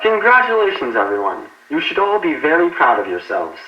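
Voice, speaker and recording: A man's voice: a little high, huge pitch swings, brisk, highs cut off, very noisy. A man playing a courteous, formal spacecraft captain in an analog horror drama, commanding yet encouraging, in a roomy echo. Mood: formal, proud. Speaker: ship's captain.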